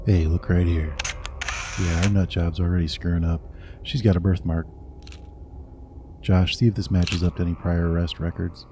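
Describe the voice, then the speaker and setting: An actor playing a blunt, profane lead detective giving orders in an audio drama. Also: male voice, sits low, level tone, moderate pace, pauses often, background noise, close to an everyday voice. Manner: blunt, swearing, commanding.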